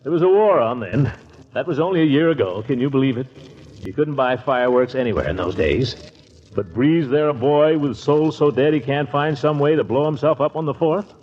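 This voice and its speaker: A man plays a fussy, blustery middle-aged uncle in an old radio comedy. His pompous delivery swoops widely in pitch. The 1940s recording is muffled, with some background noise. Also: expressive, fairly quick, natural voice. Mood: nostalgic, jovial.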